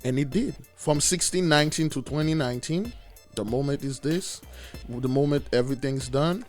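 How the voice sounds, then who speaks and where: A man with a raspy voice hosting a religious video, preaching with emphatic stress on key words. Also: mid-range voice, moderate pace, darkish tone. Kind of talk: preaching commentary. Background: faint music.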